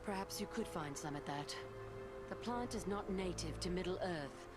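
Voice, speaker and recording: Actress as a grave, low-voiced female healer. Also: expressive, loud music behind the voice, natural voice. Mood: measured, explanatory. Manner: measured, formal, old-fashioned phrasing. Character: weary, learned female healer, grave and slightly tart. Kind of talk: dramatic fantasy game acting.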